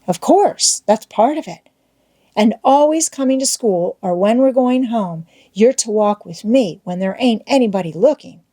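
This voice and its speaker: A woman's voice: a little high, dark tone, husky. A woman voicing an eager, boastful young schoolboy in love in an audiobook, with folksy old-fashioned rural American wording and a wheedling manner. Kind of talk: audiobook character voice.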